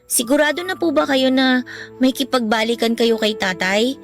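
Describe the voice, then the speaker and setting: A young Filipino daughter in a radio drama, with a high voice. She is polite, earnest and devoted, a little sulky, with music playing behind her. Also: normal speed. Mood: contrite, worried.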